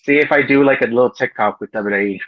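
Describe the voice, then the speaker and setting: A man's voice: mid-range voice, fast talker, dry recording. Chatty man on a dull, lo-fi line. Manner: loose and chatty, full of "you know" and "like". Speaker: podcast co-host. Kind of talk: casual chat.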